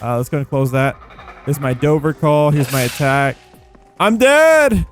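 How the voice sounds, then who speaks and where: A man's voice: a little high, animated, a little slow. A man hosting a gaming video with casual, rambling commentary, playful and full of informal slang. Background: background music.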